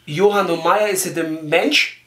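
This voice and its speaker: A man putting on a naive, persistent Turkish immigrant with a Turkish accent, halting and ungrammatical, on a prank phone call. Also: fairly high pitch, pushed and bright, a little hiss or hum. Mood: muddled, insistent. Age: young adult. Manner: halting, ungrammatical, repeats himself. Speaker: prank caller. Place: small room indoors, phone on speaker. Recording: echoey room.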